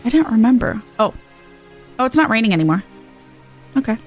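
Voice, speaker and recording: A woman's voice: a little high, very animated, brisk, soft background music. A woman livestreaming a game with casual, blunt and playful sweary commentary. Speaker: game streamer.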